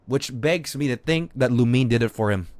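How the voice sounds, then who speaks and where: A man's voice: mid-range voice, moderate pace, darkish tone, no room sound. A man live streaming a game with unscripted commentary, arguing insistently, repeating himself and swearing casually. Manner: argumentative, repetitive, swears casually.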